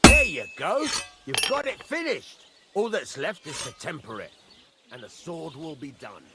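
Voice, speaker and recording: An actor playing a gruff, demanding village master blacksmith, blunt and instructive, in video game dialogue. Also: male voice, fairly high pitch, sing-song, a little slow, heavy background noise. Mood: encouraging, instructive. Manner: instructive, blunt, swears mildly.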